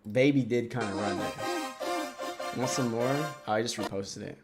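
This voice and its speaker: Excitable young-sounding streamer guy, lively voice. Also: mid-range voice, a little slow. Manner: loose and excitable, reads chat comments aloud and talks back. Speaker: livestream host. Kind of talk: casual livestream reaction chat. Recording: loud rap music playing under the voice.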